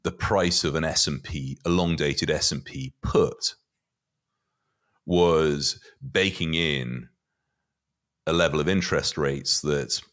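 A middle-aged man giving conversational market commentary as a guest, often halting and repeating words as he thinks. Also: extremely low pitch, fairly bright tone. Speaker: podcast guest, finance commentator. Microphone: podcast mic on boom arm.

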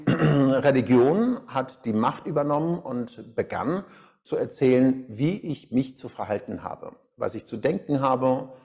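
Middle-aged guy from iran doing a live stream seminar talk, medium pitch, lapel mic. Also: vocal fry, a little mellow. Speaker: seminar speaker and coach. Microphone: small lapel mic.

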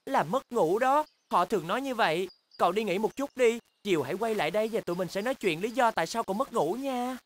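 A woman's voice: mid-range voice, animated, quick pace, hardly any pauses, a little hiss or hum, close to an everyday voice. A woman voicing a helpful, patient young polar bear in a children's cartoon dub, speaking in a reassuring, encouraging way. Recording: background cartoon music.

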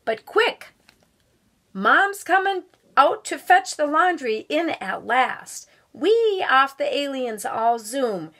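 A middle-aged woman reading a children's picture book aloud at home with expressive, drawn-out storytelling delivery. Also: sits high. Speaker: volunteer guest story reader. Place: small room at home.

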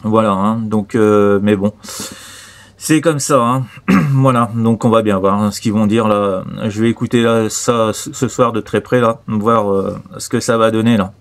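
A man's voice: lowish voice, fairly even intonation, measured pace. A man from Normandy with a French accent, chatting casually as a radio operator in a hesitant, stumbling way that often repeats itself. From Normandy, France.

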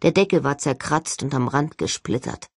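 A woman narrates a story for an audiobook in a slightly low, husky voice. The sound is close and very dry, like a studio reading. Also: moderate pace, dry recording. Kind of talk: scripted audiobook narration, first person.

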